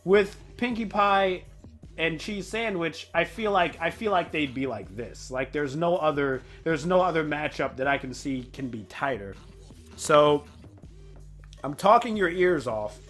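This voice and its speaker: A male reaction host with a high voice that swings widely as he talks. He rambles casually and exclaims in an animated way, like someone commenting on a video as it plays. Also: a little slow, soft background music.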